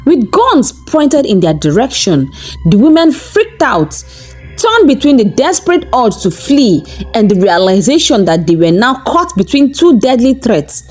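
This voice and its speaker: A woman's voice: a little high, big pitch swings, unhurried, a little mellow, soft background music, poor audio. A woman narrating a scripted folktale in a dramatic, suspense-building storyteller's delivery.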